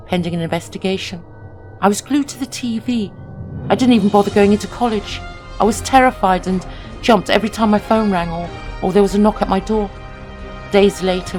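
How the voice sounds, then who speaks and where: A woman's voice: mid-range voice, a little mellow. Woman narrating horror over music. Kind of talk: scripted first-person horror narration.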